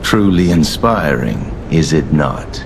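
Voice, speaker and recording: An actor playing a grandiose, condescending alchemist leader in a video game, speaking with measured, mock-courteous pomp. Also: male voice, extremely low pitch, animated, background noise, full character voice. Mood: smug, courteous. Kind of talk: theatrical video game villain monologue.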